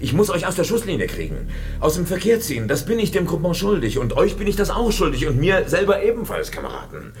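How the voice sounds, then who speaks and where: An actor playing a glib, nervous, evasive boss of a French political group in a German radio drama, with stammering, comradely patter. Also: male voice, low voice, sing-song, normal speed, a little hiss or hum. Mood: urgent, cajoling.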